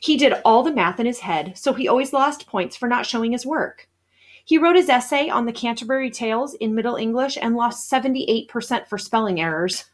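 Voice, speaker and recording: A woman narrating a children's novel aloud in an expressive, storytelling style. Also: a little high. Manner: animated, acts out dialogue.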